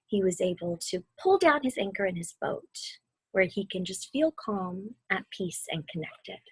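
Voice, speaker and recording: Casting: woman, very breathy and soft, slightly high voice, gentle meditation guide for children, close dry sound. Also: sing-song, no room sound, poor audio. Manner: soothing, unhurried, softly spoken. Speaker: mindfulness guide reading a children's book aloud.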